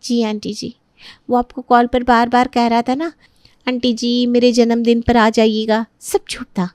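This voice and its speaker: High-voiced resentful young daughter-in-law, acted by a woman. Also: little pitch movement, measured pace, a little mellow, clean tone, dry recording, studio quality, natural voice. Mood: gossiping, aggrieved. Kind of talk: dramatized Hindi family story acting.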